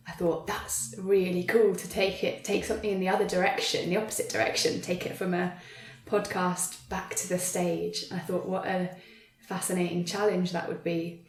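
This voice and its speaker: A woman's voice: on the low side, a little mellow. A woman writer answering conversationally as an interview guest, recorded with a roomy echo. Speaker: playwright, guest. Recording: roomy, echoing sound.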